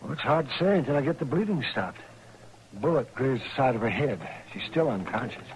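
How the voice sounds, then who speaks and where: An actor playing a preoccupied, matter-of-fact frontier town doctor in an old-time radio western. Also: male voice, mid-range voice, expressive, brisk, lightly acted. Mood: busy, distracted. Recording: noisy old radio recording.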